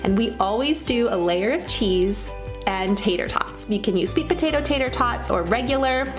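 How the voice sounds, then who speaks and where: A woman's voice: a little high, animated, normal speed. A woman from the Midwest in her thirties, narrating cooking steps in a cheerful, chatty voice-over. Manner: cheerful, chatty, walks through recipe steps. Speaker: cooking channel host. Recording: voice-over under loud music. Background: background music.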